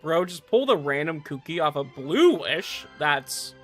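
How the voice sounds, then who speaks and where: A male game streamer with a mid-to-high voice chats casually over faint music. He repeats words and trails off as he comments. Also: big pitch swings, unhurried, a bit bright.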